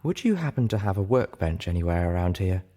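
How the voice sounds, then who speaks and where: A man's voice: low voice, sing-song, brisk, dark tone. A man voicing an elegant, teasing female unicorn singer in an audiobook, with a coy, theatrical, purring delivery. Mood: breezy.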